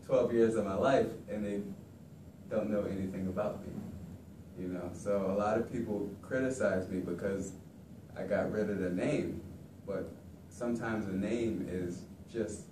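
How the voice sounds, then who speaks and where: A young man teaching about capoeira in a relaxed, conversational way, recorded from across a classroom. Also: fairly low pitch, a little slow. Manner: relaxed, conversational, frequent "you know". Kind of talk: informal classroom talk. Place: large classroom, indoors. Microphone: far camera. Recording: echoey room, distant mic, background noise.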